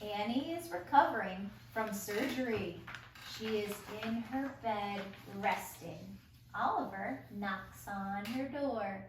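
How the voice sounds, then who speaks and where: Upbeat lady running a table read, slow paced, super echoey, kinda far from mic. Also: medium pitch. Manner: encouraging, enthusiastic. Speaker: facilitator reading stage directions at a table read. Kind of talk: group leading, narrating stage directions. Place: echoey room, recorded from afar. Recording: roomy echo, distant mic, faint noise.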